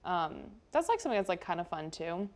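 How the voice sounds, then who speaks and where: A woman's voice: fairly low pitch, sing-song, fairly quick, darkish tone. A young American woman chatting casually and informally as a host, often dropping "like" into her sentences. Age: young adult. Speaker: local TV station chat host. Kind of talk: casual unscripted chat.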